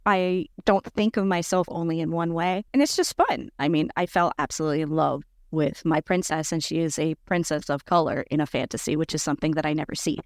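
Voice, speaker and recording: A woman in her forties with a squeaky voice, chatting casually as a podcast guest and leaping up in pitch when quoting herself. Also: brisk, few pauses, no room sound, clean studio sound. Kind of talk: casual chat.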